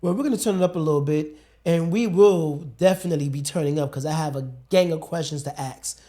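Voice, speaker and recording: A man's voice: on the high side, fast talker, mellow. A man hosting a talk show, asking rambling questions in a colloquial, chatty way. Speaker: show host. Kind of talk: casual interview chat.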